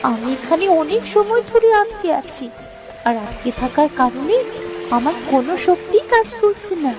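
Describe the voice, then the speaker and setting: A woman's voice: very high voice, very animated, moderate pace, over music. A woman voicing a magical queen fish in a cartoon, a worried mother who speaks in an imploring, plaintive way. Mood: plaintive explaining. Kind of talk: cartoon voice acting.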